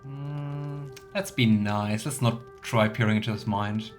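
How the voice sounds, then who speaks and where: A man's voice: on the low side, measured pace, faint music behind. Chatty man doing casual game commentary. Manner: chatty, playful, rambling asides. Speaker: let's player.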